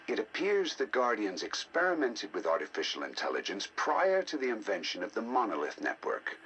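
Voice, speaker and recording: An actor playing a scholarly, intrigued scientist who narrates scripted game audio logs in a deliberate, theatrical, musing way. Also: male voice, lowish voice, a bit bright, a little hiss or hum, low-quality recording. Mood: musing, fascinated. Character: scholarly male scientist, intrigued by ancient alien data. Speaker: researcher narrating decoded alien history logs.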